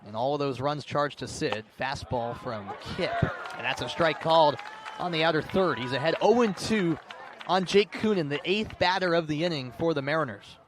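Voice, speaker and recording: A man's voice: on the high side, normal speed. A man doing live radio play-by-play, describing the action in detail and lifting his intensity for big plays. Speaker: play-by-play sports announcer. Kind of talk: live radio sports commentary. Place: outdoors at a ballpark or sports field. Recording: live outdoor broadcast with faint background noise.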